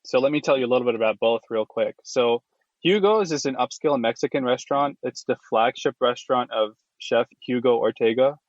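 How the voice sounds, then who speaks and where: A man in his thirties from Houston talks on a podcast as a cardiology fellow. He is upbeat and explains things clearly. His dry close audio sounds a little dull and muffled. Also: medium pitch, no room sound. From Houston, Texas. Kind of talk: podcast conversation, presenting a case.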